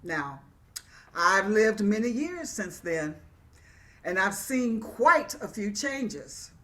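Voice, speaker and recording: A woman acts out a poet and activist looking back on her life in a dramatic stage reading. She speaks slowly at a middle pitch, with earnest, measured storytelling. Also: sing-song, fairly bright tone. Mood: grateful. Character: warm, reflective woman poet and activist, reminiscing.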